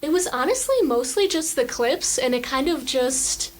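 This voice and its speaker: Teenage girl, student actor, chatty, upbeat, higher voice, faint noise. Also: animated, normal speed. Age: teens. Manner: chatty, upbeat, many fillers. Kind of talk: casual interview.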